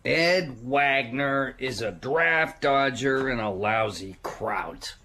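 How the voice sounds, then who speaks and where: A man's voice: medium pitch, expressive, bright, forceful tone, slight background noise. An actor playing a bitter, contemptuous American father and war veteran in a radio drama, sneering as he spits out insults. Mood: bitter contempt. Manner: slow, sneering, spitting out insults.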